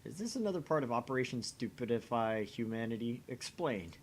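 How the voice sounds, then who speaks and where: Male game read-along co-host, very lively intonation, jokey and theatrical, faint rumbly noise. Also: brisk. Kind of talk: animated reading of game dialogue with casual banter.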